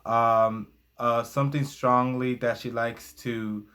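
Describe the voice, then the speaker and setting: A man's voice: mid-range voice, steady tone, slow pace, sharp tone, clean tone. A young man whose first language is Spanish reviews a book in a casual vlog, hesitating often with fillers and glancing at his notes.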